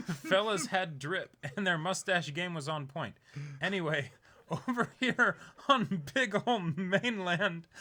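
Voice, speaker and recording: A young man hosting an online video, casually reading aloud in a halting, chuckling way while seated indoors. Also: on the high side, bright, forceful tone. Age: young adult. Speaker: YouTube unboxing host. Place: small indoor room, seated.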